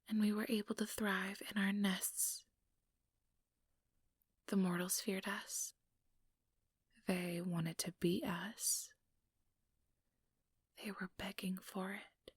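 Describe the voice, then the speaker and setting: A woman voicing an ambitious, manipulative female vampire in a breathy, measured whisper for an ASMR role-play, close to the microphone. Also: mid-range voice, a bit bright, dry recording. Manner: measured, condescending, persuasive.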